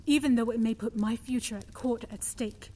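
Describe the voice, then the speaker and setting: A woman's voice: on the high side, normal speed, dark tone, close to an everyday voice. A woman plays a young noblewoman who stands her ground in firm, formal speech. Her voice carries an indignant edge. A long reverb trails as if in a stone hall. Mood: defiant. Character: defiant noblewoman Marion, young and principled. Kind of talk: audio drama acting.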